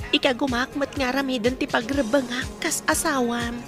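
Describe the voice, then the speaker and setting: Woman playing high-voiced sweet young bride. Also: steady tone, moderate pace, music in the background, close to an everyday voice. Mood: loving. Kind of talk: Ilocano radio drama acting.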